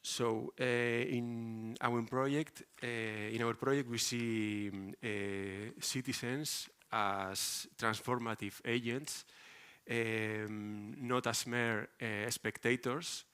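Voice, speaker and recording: A man with a strong Spanish accent presenting a project at a conference as an architect, halting and hesitant with frequent pauses. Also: mid-range voice, level tone, a bit bright, smooth. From Spain.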